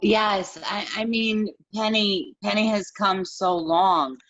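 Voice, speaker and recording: A woman's voice: medium pitch, a bit bright. Woman, interviewer, admiring, stop-start phrasing, muffled recording. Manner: admiring, halting, restarts often. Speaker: guest interviewer. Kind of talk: casual interview chat.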